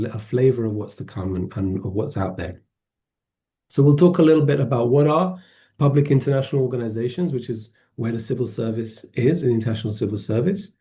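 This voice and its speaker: A man, presenting an online webinar over a video call, often pausing with fillers and restarting his sentences. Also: medium pitch, dark tone. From Poland, grew up in England. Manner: hesitant, many fillers and restarts.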